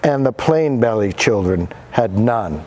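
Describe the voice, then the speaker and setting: A man's voice: fairly low pitch, a little slow, a little hiss or hum. A male teacher giving a dramatic read-aloud of a picture book to students. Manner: animated, dramatic reading voice.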